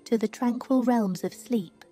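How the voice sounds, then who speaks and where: A woman reading a scripted guided sleep meditation in a slow, soothing, hushed manner. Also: a little high. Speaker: meditation narrator. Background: music.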